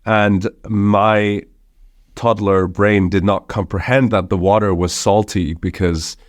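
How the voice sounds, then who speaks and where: A man's voice: lowish voice, steady tone, slow pace, dry recording, studio quality. A young man from Hong Kong with a slight American accent, talking conversationally as a podcast guest with many restarts. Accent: slight American international-school accent. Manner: conversational, with frequent restarts and fillers. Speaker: startup founder guest. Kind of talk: podcast interview.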